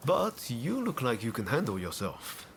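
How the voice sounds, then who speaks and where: A roguish ronin in a game cutscene, breezy and playful, swoopy pitch, takes his time. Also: huge pitch swings, measured pace, a little hiss or hum. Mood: breezy, confident. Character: jaunty, roguish ronin man with big ambitions. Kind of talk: video game cutscene acting.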